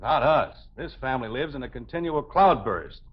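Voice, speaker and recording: A man's voice: mid-range voice, animated, moderate pace, slightly rough. A man with an American accent playing an exasperated, thrifty father in a 1950s radio sitcom, blustery, sarcastic and lecturing. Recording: muffled old radio recording.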